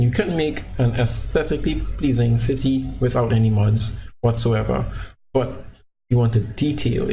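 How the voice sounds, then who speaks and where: Trinidadian man, mellow rambling voice. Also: mid-range voice, a little hiss or hum, poor audio. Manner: rambling, relaxed, many fillers and restarts. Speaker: gaming channel creator. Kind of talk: casual gameplay commentary. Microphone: cheap low-quality microphone.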